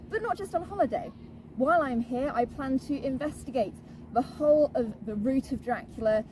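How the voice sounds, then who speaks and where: Woman presenting over loud outdoor noise. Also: fairly high pitch. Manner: theatrical storytelling. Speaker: presenter, audio drama writer and director. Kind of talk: on-location travel presenting. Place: outdoors in a seaside town. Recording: loud outdoor noise, traffic, sometimes distant.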